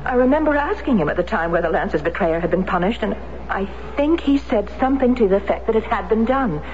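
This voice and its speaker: A woman's voice: medium pitch, lively intonation, fast, a little mellow, natural voice. An actress playing a determined grieving widow in her thirties who speaks firmly and earnestly, in 1970s radio drama style. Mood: wistful. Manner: firm, pressing, earnest. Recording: muffled lo-fi radio broadcast with faint hiss.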